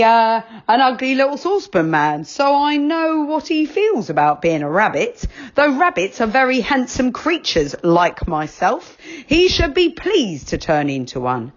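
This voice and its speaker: A woman's voice: on the high side, very animated, a little slow, pitched above the performer's own voice. A woman voicing a vain, friendly male rabbit who drives a car, talking in a chatty, self-admiring way. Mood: smug. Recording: dull lo-fi recording.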